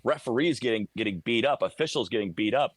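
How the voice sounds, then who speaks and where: A man's voice: medium pitch, animated, quick pace, no room sound. A man talking casually as a hockey radio announcer, explaining things conversationally in a live game-day report. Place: high broadcast booth inside a hockey arena.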